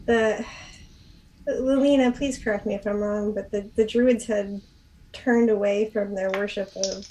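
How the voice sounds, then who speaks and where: A woman's voice: mid-range voice, low-quality recording. A woman taking part in a tabletop game, chatting casually. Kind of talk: casual chat.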